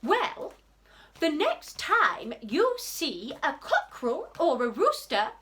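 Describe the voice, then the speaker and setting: A woman's voice: high voice, huge pitch swings, slow pace, bright, forceful tone, full character voice. A woman doing a timid storybook lion. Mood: encouraging. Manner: fearful, storybook voice. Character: timid male lion, king of beasts.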